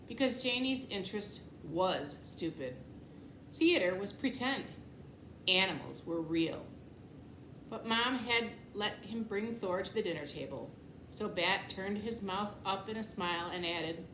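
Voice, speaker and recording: Echoey woman reading a children's storybook. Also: fairly low pitch. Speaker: teacher reading aloud. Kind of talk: expressive children's storybook narration. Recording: echoey room, clear background noise.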